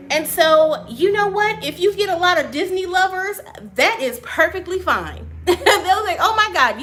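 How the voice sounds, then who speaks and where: A woman with a notably high, bright voice hosts a live stream from her print shop office. She talks loudly and animatedly and breaks into big laughs between her answers to viewers. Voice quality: high voice she compares to a cartoon mouse. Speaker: small business owner and channel host.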